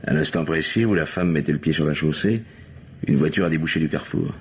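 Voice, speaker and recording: An actor playing an earnest, methodical police lieutenant in a French mystery radio play, speaking deliberately. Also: male voice, a bass voice. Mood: somber. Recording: muffled, hissy vintage radio recording.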